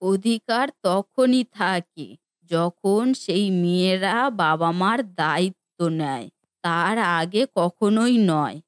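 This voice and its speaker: A woman's voice: lowish voice, takes it slowly, dry recording, clean studio sound. A woman playing a stern, reproachful elderly mother in a cartoon family drama, with an indignant, scolding manner.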